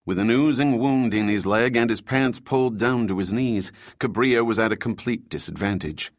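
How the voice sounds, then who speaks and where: A man narrating a thriller audiobook in a steady, even reading voice. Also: mid-range voice, moderate pace, dry recording, studio quality.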